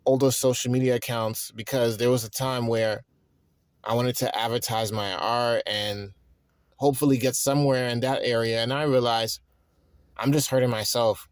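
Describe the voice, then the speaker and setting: A man in his thirties, tired and stressed, talking in a rambling, halting way with frequent restarts in a reflective personal monologue. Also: mid-range voice, steady tone, smooth, dry recording, clean studio sound. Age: 30s. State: tired and worn out. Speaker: vlogger.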